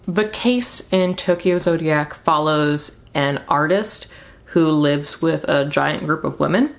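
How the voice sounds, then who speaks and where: A woman's voice: low-pitched, slow, creaky. A young woman talking casually and enthusiastically, rambling through book recommendations with emphatic repeats. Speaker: book reviewer and video host.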